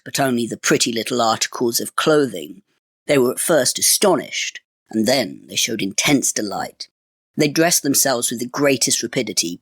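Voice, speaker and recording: Expressive male storyteller with lively intonation. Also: mid-range voice, slightly rough, no room sound, studio quality. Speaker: storyteller, fairy tale reader. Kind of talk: scripted storybook narration.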